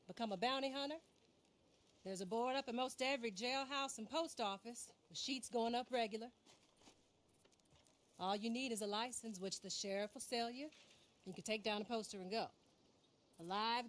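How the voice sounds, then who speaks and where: A woman's voice: fairly high pitch, moderate pace, pauses often, lightly acted. An actress playing a tough female bounty hunter in a western game, with an American accent and a blunt, folksy, sardonic drawl. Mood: brash, confident. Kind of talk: dramatic game cutscene acting. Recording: music under the voice.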